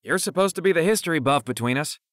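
A man voicing a hyper, excitable teenage stoner conspiracy theorist in an audiobook, with an emphatic cadence. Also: a little high, fast talker, dry recording, studio quality, pitched above the performer's own voice. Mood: indignant, cocky. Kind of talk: audiobook character acting.

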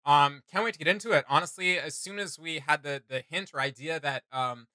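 A man's voice: a little high, brisk, bright, forceful tone, no echo, studio quality. A young man speaking casually and enthusiastically as the host of a reaction video. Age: young adult. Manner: enthusiastic, informal. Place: small home room with desk and shelves, indoors.

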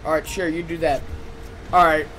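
Male gamer, fire officer roleplay, informal chatter, raised pitch, noisy siren-and-engine backdrop. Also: flat delivery, moderate pace, a bit bright. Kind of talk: in-character game roleplay chat.

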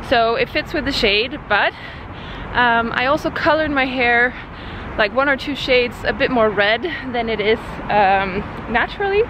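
A young woman vlogging to a handheld camera outdoors in a city, talking casually with lots of fillers and pauses. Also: on the high side. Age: young adult. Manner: chatty, hesitant, many fillers. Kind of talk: casual vlog talk to camera. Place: outdoors in a city, under a bridge. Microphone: handheld camera at arm's length. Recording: outdoor street noise.

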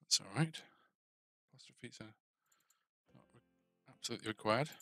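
Middle-aged man, casual think-aloud coder, muttered fragments, gravelly, headset, keyboard clicks, faint music. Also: fairly low pitch, moderate pace, a bit bright, dry recording. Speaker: game developer streaming his coding. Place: indoor desk. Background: keyboard typing, clicks, faint music.

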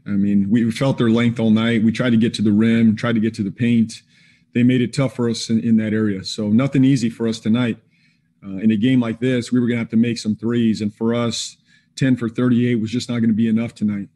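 A man in his forties, a head coach taking postgame questions over a video call. His voice is mellow and dark, and his answers come measured and plain, full of "you know" and "um". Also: mid-range voice. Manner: measured, plain-spoken, with frequent fillers. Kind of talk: postgame press conference. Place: indoors in front of a team backdrop.

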